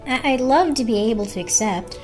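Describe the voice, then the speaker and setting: Lady playing a helpful little toaster, high voice, super polite, kids storybook, some music. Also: expressive, a little fast, close to an everyday voice. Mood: polite, apologetic. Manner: bright, courteous. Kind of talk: dramatic children's storybook reading.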